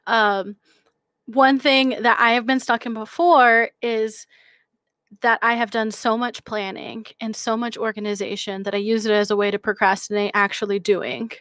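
A woman's voice: fairly high pitch, steady tone, moderate pace, fairly bright tone, no echo, clean studio sound. A woman in her twenties, a guest on a video call chat, explaining things earnestly. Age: 20s. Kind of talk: casual chat.

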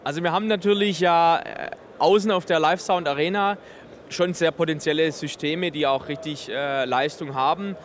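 A man's voice: a little high, moderate pace, slight background noise. A man in his thirties giving an enthusiastic, technical product explanation in an interview. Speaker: exhibitor presenting a loudspeaker system. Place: busy trade fair booth in a large hall. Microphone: handheld interview microphone held by interviewer.

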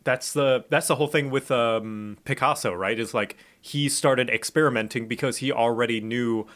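A man's voice: mid-range voice, moderate pace, a bit bright. A man who grew up in Thailand, chatting as a guest on a podcast, thoughtful and rambling with restarts. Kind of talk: casual chat.

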